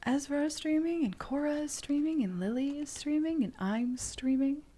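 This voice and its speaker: A woman streamer speaking softly in a playful ASMR livestream style, often dropping into a whisper. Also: high-pitched, normal speed. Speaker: streamer reading tarot.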